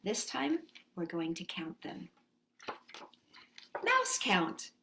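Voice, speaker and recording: A woman's voice: on the low side, wildly swooping pitch, drawn-out words, gravelly, heavy background noise. A woman hosting story time for young children, reading and talking expressively and putting simple questions to her listeners. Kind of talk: story time for young children with read-aloud and sing-along songs.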